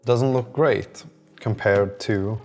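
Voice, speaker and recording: A man's voice: on the low side, slow pace, darkish tone. A man talking casually as a vlog host, thinking aloud in a hesitant, rambling way. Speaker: app developer, vlog host.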